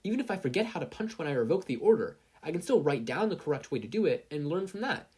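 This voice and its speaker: An eager teenage boy hero in training, as acted by a story reader. The voice is excitable and leaps around in pitch, with a slightly breathy grain. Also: fast. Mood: excited.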